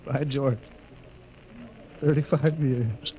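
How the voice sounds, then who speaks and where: A man's voice: mid-range voice, drawn-out words, over loud music, poor audio. A man playing a stern, rattled rocket ship captain with a commanding, clipped delivery in old-time radio drama. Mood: stunned, moved.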